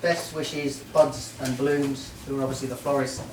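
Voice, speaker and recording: A middle-aged man from Merseyside giving a halting, joking best man's speech at a wedding reception. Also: mid-range voice, little pitch movement. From Merseyside, England. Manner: warm, joking, halting with many ums. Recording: roomy hall, mic far off, faint noise.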